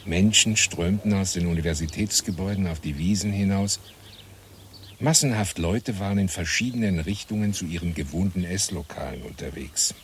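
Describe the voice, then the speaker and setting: An actor playing a calm, wry man recalling the past in a German radio play, unhurried and matter-of-fact. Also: sits low, a little hiss or hum. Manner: unhurried, matter-of-fact, pausing between phrases.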